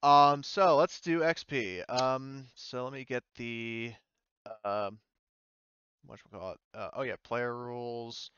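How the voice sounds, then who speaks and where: A man acts as game master in a casual, hesitant way, feeling for the right words. His voice is smooth and sits at a middle pitch. The recording is dry and a little muffled. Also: fairly even intonation, slow pace, pushed and bright, dry recording. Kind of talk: casual tabletop roleplaying narration and chat. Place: voice chat in an online game session.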